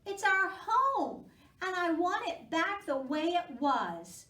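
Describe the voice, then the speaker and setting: A small, earnest squirrel from a storybook as a woman reader puts him on, high-pitched and swooping. He sounds eager and plaintive, like a child, in an echoey room away from the mic. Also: sing-song, slow, pushed and bright, recorded from a distance, exaggerated voice. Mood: pleading. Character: earnest young squirrel who loves his tree.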